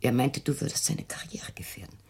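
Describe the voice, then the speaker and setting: A woman playing a controlling, defensive middle-aged mother in an intimate radio play, measured and insistent, with a husky, breathy delivery. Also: extremely low pitch, animated, darkish tone, whispered, heavily acted. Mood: cold, matter-of-fact. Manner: measured, insistent, self-justifying. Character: controlling, defensive mother of a fearful grown daughter.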